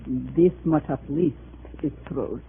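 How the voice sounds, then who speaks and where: A woman's voice: a bass voice, slow pace, gravelly, full character voice. An actress playing a weary old immigrant mother in a 1950s radio drama. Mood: weary, reluctant. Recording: muffled, noisy old radio recording.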